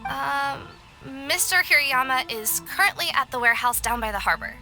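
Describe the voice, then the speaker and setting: High, bright, worried office worker. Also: female voice, lively intonation, normal speed, soft background music, full character voice. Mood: helpful. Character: worried young female publishing editor, friendly. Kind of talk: video game voice acting.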